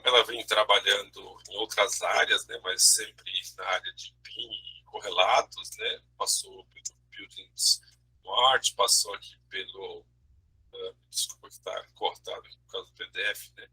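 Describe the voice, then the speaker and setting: A man from Brazil hosting a webinar, introducing a speaker in a halting way with frequent tag questions. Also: lowish voice, bright, forceful tone, slightly rough, rough recording. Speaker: webinar host. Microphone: video call.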